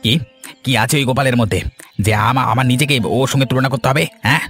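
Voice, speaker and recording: A man's voice: medium pitch, steady tone, fast, a bit bright, faint music behind, close to an everyday voice. A man playing a hot-headed, envious, hard-up village husband in a cartoon, with a blustering, aggrieved manner. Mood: indignant, angry. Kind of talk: scripted cartoon dialogue.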